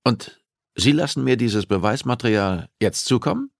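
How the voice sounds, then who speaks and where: Man voicing a grudging newspaper publisher, raised pitch. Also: lively intonation, moderate pace, vocal fry, dry recording, clean studio sound. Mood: wary. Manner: wary, grudging. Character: reluctant, worried male newspaper publisher. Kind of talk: audiobook dialogue acting.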